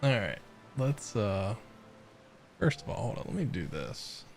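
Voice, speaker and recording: A man livestreaming a game, reacting casually and thinking aloud in a rambling, halting way. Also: on the low side, big pitch swings, creaky, slight background noise. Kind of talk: casual live reaction and chat.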